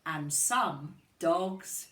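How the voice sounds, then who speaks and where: Very slow, expressive woman reading a storybook. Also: low-pitched. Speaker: teacher reading aloud to children. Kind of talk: expressive picture-book reading. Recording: echoey room, distant microphone.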